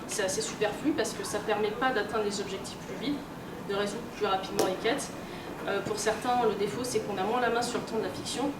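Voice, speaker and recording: A woman's voice: medium pitch, steady tone. Woman lecturing informally, distant noisy room recording. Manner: colloquial, hesitant, loosely explanatory with many filled pauses. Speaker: speaker, role-playing game researcher and editor. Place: indoor room at a games convention. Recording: roomy, distant, noisy live convention recording.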